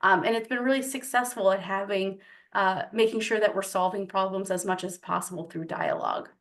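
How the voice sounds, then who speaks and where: A woman on a webinar panel, explaining housing practices in a steady manner with frequent fillers. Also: medium pitch, fairly quick.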